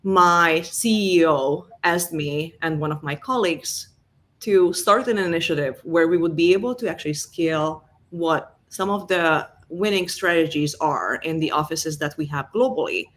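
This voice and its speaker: A woman's voice: low-pitched, normal speed, fairly bright tone. A woman with a Hungarian accent, a guest in an interview, talking through her career in a conversational way with many fillers. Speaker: guest, revenue enablement executive.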